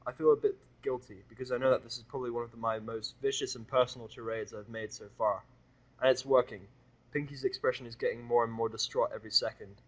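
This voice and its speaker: A man's voice: mid-range voice, fairly even intonation, fast talker, poor audio. A man reading a first-person story aloud as dramatic narration for a fan audio drama.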